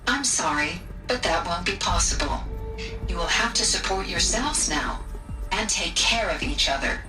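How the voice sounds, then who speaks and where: Robot nanny for a game, played by a woman: calm, formal, caring, heard from a distance in a roomy space. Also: lowish voice, lightly acted. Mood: gently firm. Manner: measured, formal, caretaking. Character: calm maternal robot caretaker of children, gentle and measured. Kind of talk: video game cinematic acting. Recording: roomy, distant, music behind.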